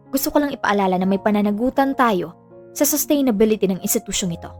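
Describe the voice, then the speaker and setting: Woman acting officious, high-voiced formal hospital doctor. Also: close to an everyday voice. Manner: formal, businesslike, mixing English jargon into speech. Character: formal, officious hospital doctor, veiled threat beneath politeness.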